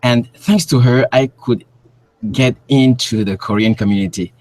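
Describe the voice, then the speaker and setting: A man's voice: mid-range voice, moderate pace, darkish tone, no echo. A man from Cameroon, a guest researcher speaking on a remote call, who often stops to search for words. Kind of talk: interview on a call.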